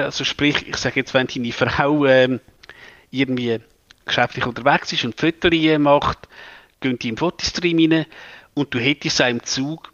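A man's voice: mid-range voice, a bit bright, no echo. A man with a Swiss German accent co-hosts a casual radio tech show, hesitating and filling gaps while he thinks aloud. The recording sounds slightly dull and dry.

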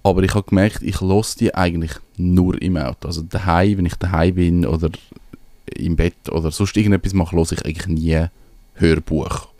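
A man's voice: low-pitched, steady tone, brisk, dark tone, no room sound. A man with a Swiss German accent co-hosting a podcast in a casual, conversational way. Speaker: podcast co-host.

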